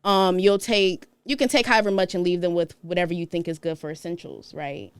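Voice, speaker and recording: A young woman from Detroit in her twenties, a guest on a podcast, talking in a relaxed way with fillers and laughs. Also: lowish voice, fairly quick, clear, resonant tone, dry recording. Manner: relaxed, laughs between words, many fillers. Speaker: guest, professional dominatrix. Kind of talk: casual interview chat.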